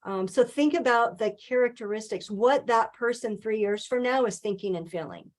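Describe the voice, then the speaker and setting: A woman speaking as a guest in a webinar interview, talking calmly and earnestly in a coaching tone, with the odd "um". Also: a little high, normal speed. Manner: calm, earnest, coaching tone with filler ums. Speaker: guest speaker, author and financial advisor. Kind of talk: webinar interview, motivational talk.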